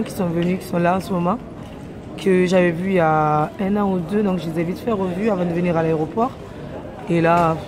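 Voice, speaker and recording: A woman's voice: medium pitch, noisy background. A young woman chatting to her phone camera as a travel vlogger, rambling through her day at an airport and on a plane. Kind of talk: casual vlog chat to camera. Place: airport terminal and plane cabin, indoors.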